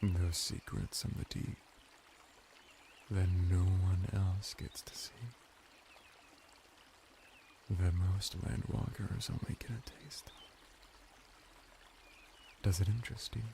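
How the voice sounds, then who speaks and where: Man playing a slow, low-voiced seductive merman. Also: fairly even intonation, warm tone, a little hiss or hum, heavily acted. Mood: sultry, enticing. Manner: slow, teasing, breathy murmur with dramatic pauses. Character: flirty seductive merman, servile and sultry, devoted to his master. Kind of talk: ASMR roleplay, intimate scripted monologue.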